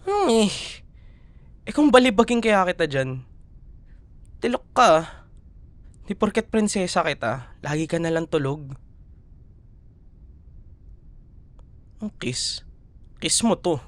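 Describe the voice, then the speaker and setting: A man's voice: sits high, very animated, a little slow, pauses often, no room sound. A young man with a Filipino accent playing a playful, affectionate but exasperated student boyfriend in an intimate audio roleplay, coaxing and teasing as he talks. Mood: exasperated, nagging. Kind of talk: ASMR boyfriend roleplay, casual Tagalog banter.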